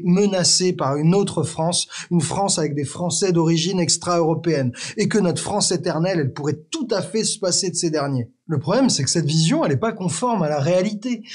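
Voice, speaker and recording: A man hosting an educational video, narrating a script in a clear, teacherly way with the odd wry aside to the viewer. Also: on the high side, a little mellow. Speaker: explainer video host.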